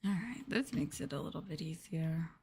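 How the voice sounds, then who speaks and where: A woman streamer chatting softly in an ASMR livestream style, gentle and hesitant, sometimes drifting into a whisper. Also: low-pitched, moderate pace, slight background noise. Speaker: streamer, VTuber host.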